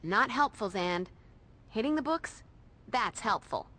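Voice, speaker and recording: An actress playing a bossy, sarcastic teenage demon fighter with an American accent in a video game, blunt and slangy. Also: female voice, fairly high pitch, lively intonation, a little slow, pushed and bright, full character voice.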